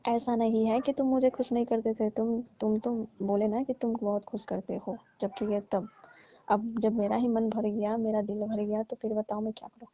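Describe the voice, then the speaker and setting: A woman's voice: a little high, flat delivery, normal speed, dark tone. A woman in a casual phone conversation, speaking in a dismissive, detached manner as she brushes off the other person. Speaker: call partner breaking off a relationship. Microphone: phone call. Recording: dull lo-fi phone call recording.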